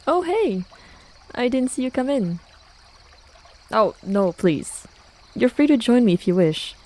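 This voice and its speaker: A woman's voice: a little high, big pitch swings, unhurried, mellow. A young woman acting a playful, teasing student friend in a casual, intimate ASMR roleplay. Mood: welcoming, reassuring. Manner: casual, teasing, with sighs and chuckles. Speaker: voice actor. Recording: running and trickling water behind voice. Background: hot spring water ambience.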